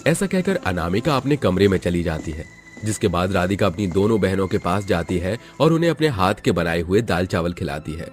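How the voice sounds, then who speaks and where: A man's voice: deep voice, animated, brisk, faint music behind. A man reading a scripted moral story as its narrator.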